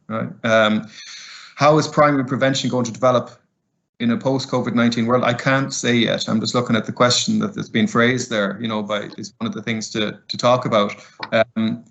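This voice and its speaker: A man's voice: on the low side, creaky, fairly bright tone. A middle-aged man giving a conversational webinar presentation as a community liaison, often ending points with "right?" and sprinkling in fillers. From Ireland. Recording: muffled lo-fi webinar audio.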